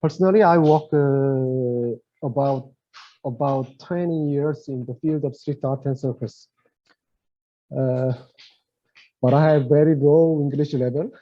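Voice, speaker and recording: A middle-aged man with a Korean accent, giving a webinar presentation in English as his second language, halting and searching for words. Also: mid-range voice. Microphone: video call. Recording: lo-fi video call audio.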